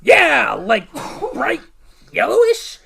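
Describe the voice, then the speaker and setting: A performer playing a neurotic, whiny, excitable sitcom man, raspy and stammering in complaint, in a comedic parody. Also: sits high, takes it slowly, heavily acted. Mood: agitated.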